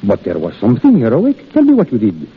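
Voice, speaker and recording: Husky, animated army surgeon. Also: male voice, mid-range voice, quick pace, exaggerated voice. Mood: jovial, teasing. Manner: exuberant, coaxing, stilted uncontracted English. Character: brisk, affectionate Italian army surgeon, jovial wartime friend. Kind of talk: 1930s-40s radio drama acting. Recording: dull old radio broadcast.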